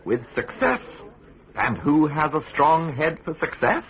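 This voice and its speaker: A worldly, scheming English official in a Tudor radio play. He is insinuating and smug, his pitch moving freely between deliberate pauses, heard through a tinny, narrow-band recording. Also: very animated. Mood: self-satisfied. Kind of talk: British radio drama acting.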